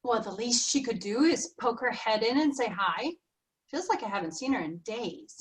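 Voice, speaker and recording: A woman's voice: a little high, lively intonation, moderate pace, close to an everyday voice. An actress in a staged reading playing a fussy, worried grandmother over sixty. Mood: wistful. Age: older. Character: fretful grandmother, sharp and worried. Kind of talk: virtual staged play reading.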